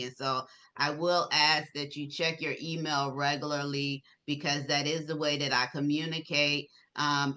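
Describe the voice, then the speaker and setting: A woman with a very bright, forceful voice presents informally on an online call as the organizer of a conference. She instructs and encourages her group, repeating words for emphasis. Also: deep voice.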